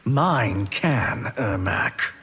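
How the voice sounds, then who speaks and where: An actor playing a sly, haughty sorcerer in a fighting game, formal, measured and smug. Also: male voice, mid-range voice, expressive, long reverb tail, over loud music. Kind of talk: video game fighter dialogue.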